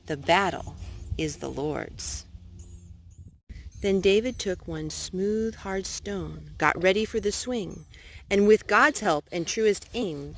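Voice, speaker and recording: A woman reading picture books aloud for children's storytime in an expressive, deliberate way. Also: medium pitch, a little hiss or hum.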